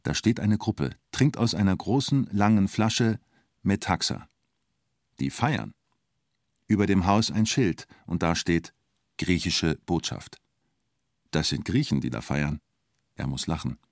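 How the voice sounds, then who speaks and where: An actor narrating a radio play in the present tense as an observant storyteller, in terse, understated fragments. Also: male voice, low-pitched, animated, a little slow, pauses often, dry recording, studio quality. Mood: observant, wry. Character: male storyteller following an onlooker at a siege, observant.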